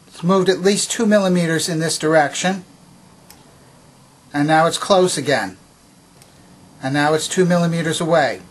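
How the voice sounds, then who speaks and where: A man presenting a hands-on demonstration in a methodical, explanatory way, with a slightly husky voice. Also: on the high side, moderate pace, pauses often. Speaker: presenter demonstrating vintage tape recorder. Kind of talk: instructional demonstration narration. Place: indoor home workbench.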